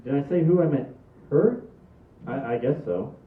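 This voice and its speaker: A man's voice: medium pitch, lively intonation, moderate pace, natural voice. A man reading an exasperated, level-headed family man at a comedy script table read. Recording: muffled, echoey, far from the mic.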